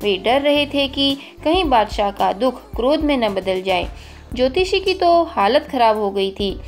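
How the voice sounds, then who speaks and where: A woman's voice: fairly high pitch, animated, unhurried. A woman narrating a scripted children's story as the host of a storytelling channel. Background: background music.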